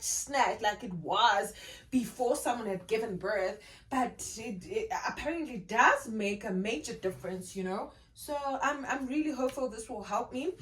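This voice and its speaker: A young South African woman, a native Xhosa speaker, chatting casually to camera in a vlog, repeating words and laughing easily. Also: high voice. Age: young adult. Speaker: vlogger.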